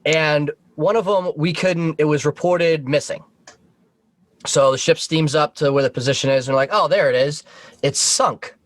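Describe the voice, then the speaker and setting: Higher-voiced American man telling stories, close dry sound. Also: moderate pace, no echo. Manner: profane, joking. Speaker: podcast guest, Coast Guard veteran. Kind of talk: casual anecdote storytelling.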